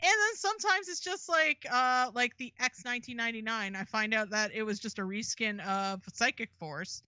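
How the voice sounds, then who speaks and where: A woman's voice: fairly high pitch, moderate pace, bright, forceful tone, no room sound, highs cut off. A woman guest in a casual interview, chatty and laughing often, with many fillers. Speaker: interview guest, fighting game creator.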